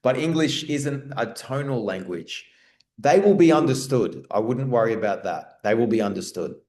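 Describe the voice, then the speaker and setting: An Australian man answering webinar questions in a relaxed, rambling way, restarting his sentences often. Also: medium pitch, normal speed. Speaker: guest speaker. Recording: roomy echo.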